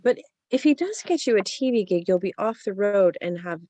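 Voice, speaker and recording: A woman's voice: mid-range voice, expressive, fairly quick, mellow, close to an everyday voice. A woman acting wry, defensive women in a comedy screenplay table read.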